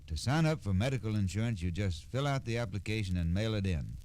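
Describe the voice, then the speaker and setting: Low, formal 1960s radio spokesman. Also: big pitch swings, fast, darkish tone. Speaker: Social Security spokesman guest. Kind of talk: scripted 1960s radio announcement.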